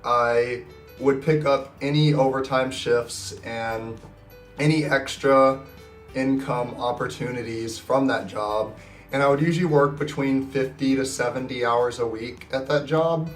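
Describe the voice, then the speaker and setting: A man's voice: medium pitch, fairly even intonation, unhurried. A young man in his twenties talks casually to camera, his voice nasal and scratchy from being sick. He sounds upbeat and rambles a little, with restarts. The mic sits some distance away in an echoey room, with faint guitar music underneath. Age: 20s. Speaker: personal finance vlogger. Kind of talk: casual talking-to-camera vlog.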